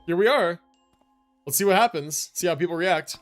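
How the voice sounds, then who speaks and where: Animated young male streamer, slangy and casual. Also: high-pitched, normal speed. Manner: laid-back, slangy. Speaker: game livestreamer. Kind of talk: casual livestream commentary. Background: game music.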